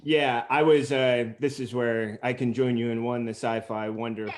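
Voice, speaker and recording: A man's voice: medium pitch, level tone, moderate pace, background noise. A man hosting a group interview on a video call, speaking in a conversational style. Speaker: interview host.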